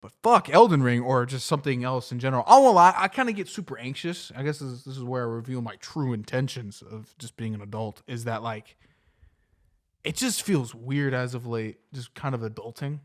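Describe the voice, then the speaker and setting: A man's voice: medium pitch, brisk. A young adult man co-hosting a podcast, chatting casually in a rambling way and swearing often. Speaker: podcast co-host. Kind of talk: casual chat.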